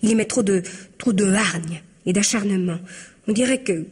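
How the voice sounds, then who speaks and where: Anxious, husky-voiced young secretary. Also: female voice, medium pitch, normal speed, a little mellow. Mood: anxious, insistent. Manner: guarded, correct, insistent. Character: earnest, anxious, proper young female secretary. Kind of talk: 1950s French radio mystery acting.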